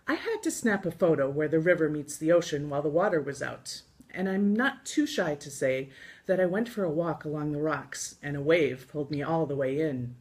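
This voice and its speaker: An American woman reading her own scripted travel memoir aloud in an expressive storytelling manner, with deliberate pauses. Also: sits low. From United States. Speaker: author reading from own book.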